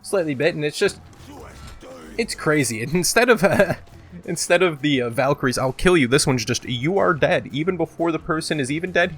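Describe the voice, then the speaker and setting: Guy doing gaming countdown commentary, medium pitch, jokey, exaggerates stuff, swears casually. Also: a bit bright. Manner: profane, joking, exaggerating; belts out game voice lines. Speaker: gaming video host.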